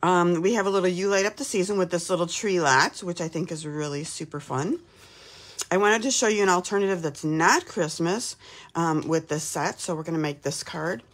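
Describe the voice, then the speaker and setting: A woman from Texas in her fifties, slightly hoarse from a cold, chatting casually while hosting a live craft demo from her home craft room. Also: lowish voice, normal speed. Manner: chatty, upbeat, lots of "um"s.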